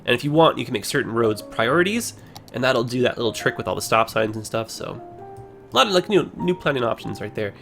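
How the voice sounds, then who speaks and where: Hoarse, gravelly man streaming a game. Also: medium pitch, brisk, faint music behind. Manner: rambling, relaxed, many fillers. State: hoarse, losing his voice. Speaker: livestreamer. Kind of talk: casual gameplay commentary.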